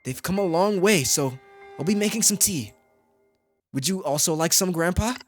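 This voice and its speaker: A man's voice: sits high, lively intonation, moderate pace, faint music behind, voice pushed up. A man voicing an anxious, polite young woman from a visual novel, speaking with careful formality. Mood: polite, gentle.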